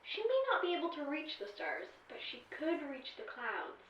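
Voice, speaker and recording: High-pitched, sassy AI companion. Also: female voice, very animated, normal speed, a little hiss or hum. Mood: storytelling. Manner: sassy, sighing, dramatic emphasis. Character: snippy, teasing artificial intelligence companion, reluctant storyteller. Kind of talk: audio drama acting. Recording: echoey, distant, dull-sounding voice.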